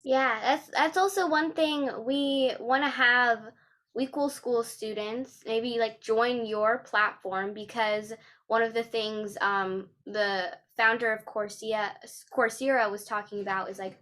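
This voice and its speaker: A woman hosting a casual interview, relaxed and using fillers like "like" and "uh". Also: fairly high pitch, slow pace.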